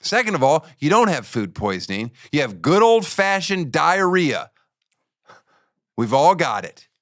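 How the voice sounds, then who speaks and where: A man's voice: fairly high pitch, very animated, measured pace, fairly bright tone, dry recording. Joking male podcast host, dry sound. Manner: joking, teasing, blunt. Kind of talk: comedic podcast banter.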